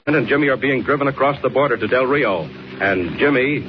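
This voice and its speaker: A man's voice: mid-range voice, a little fast, lightly acted. A man announcing a 1940s radio serial in a dramatic, declamatory style. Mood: dramatic, urgent. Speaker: announcer and narrator. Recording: muffled, noisy 1940s radio recording.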